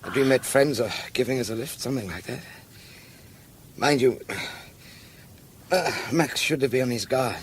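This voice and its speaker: A man's voice: mid-range voice, moderate pace, long pauses, slight background noise, lightly acted. An actor playing a determined policeman on the run in a radio drama, urgent, clipped and commanding as he urges his companion on. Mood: troubled.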